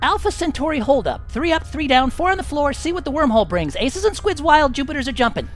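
Boy scout, very high voice, wildly swooping intonation, earnest, cheeky, exclamatory, retro sci-fi radio play, light music behind. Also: sing-song, fast. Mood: chirpy. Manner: gee-whiz earnest, exclamatory. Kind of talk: retro radio adventure acting.

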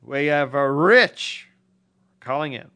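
A man co-hosting a podcast, tossing in quick wry quips. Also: a little high, animated, pushed and bright, no echo. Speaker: podcast co-host. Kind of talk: casual banter.

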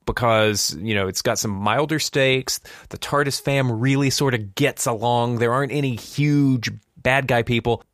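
A man with a medium-pitched voice hosts a conversational podcast. He explains news items in a chatty way and tosses out questions to his co-host. The sound is dry, with little room in it. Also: a bit bright, no room sound.

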